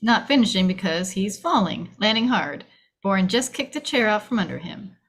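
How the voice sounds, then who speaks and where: A woman's voice: mid-range voice, animated, moderate pace. A woman reading stage directions as dramatic screenplay narration, in clipped, punchy phrases.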